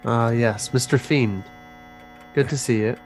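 Guy playing tabletop rpg, slow n halting, casual improv, mid pitch. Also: fairly even intonation, a little hiss or hum. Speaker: tabletop roleplay player. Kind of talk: casual improvised in-game conversation.

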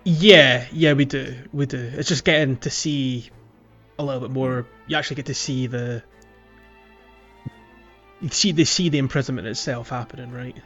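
A man's voice: medium pitch, fairly even intonation, normal speed, a bit bright. A man co-hosting a podcast, chatting about a video game in a rambling, hesitant, conversational way. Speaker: podcast co-host. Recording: dull lo-fi microphone, faint music.

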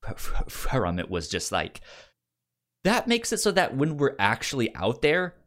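A podcast co-host in a casual comics discussion, halting and often repeating or stumbling over words. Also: mid-range voice, huge pitch swings, a bit bright.

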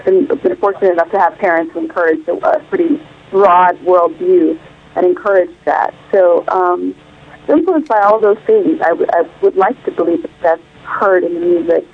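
A woman's voice: on the low side, expressive, normal speed. A woman singer interviewed by phone, from Illinois, speaking thoughtfully and searching for words with frequent fillers. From Illinois, United States. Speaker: guest singer. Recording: tinny phone line.

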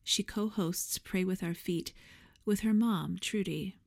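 A woman's voice: medium pitch, slow, gravelly. A woman host reading a scripted introduction of a guest.

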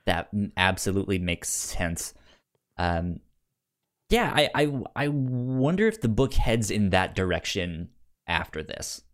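A man co-hosting a casual review discussion, halting and repeating words as he thinks out loud. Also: on the low side, sing-song. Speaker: podcast co-host reviewing comics.

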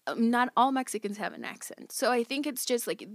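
A young woman whose first language is Spanish, chatting casually as a podcast guest. She speaks with a fairly high voice, giggles often and fills her talk with likes. The recording is dry and close, with no room sound. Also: expressive, fairly quick, no room sound. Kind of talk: casual conversation.

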